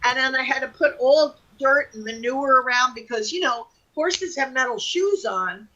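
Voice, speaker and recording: A middle-aged woman with a forceful, bright voice pitched a little high. She tells personal anecdotes in loose, everyday language, with exclamations thrown in as she goes. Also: normal speed, lo-fi sound. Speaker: talk show participant. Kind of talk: casual personal storytelling.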